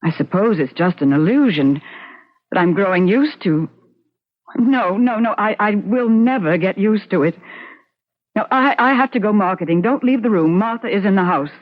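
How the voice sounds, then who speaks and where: An actress playing a fretful, protective wife with hesitant, halting delivery in an old radio drama. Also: female voice, mid-range voice, animated, natural voice. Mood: anxious, firm. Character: anxious, protective wife in a period horror tale. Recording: dull old radio recording.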